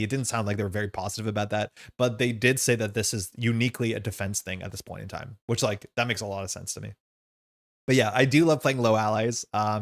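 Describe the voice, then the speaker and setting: A man's voice: on the low side, no room sound. Rambling man, dry close sound. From Canada. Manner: rambling, thinking aloud. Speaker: live streamer playing a card game. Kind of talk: casual live stream commentary.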